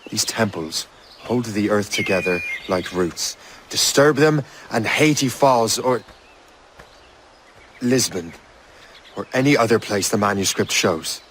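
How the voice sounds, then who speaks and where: A man's voice: lowish voice, expressive, slow, long pauses, fairly bright tone, slight background noise. A man playing a resolute, self-assured adventurer in a video game cutscene, speaking in a direct, firm and courteous way. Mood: grave.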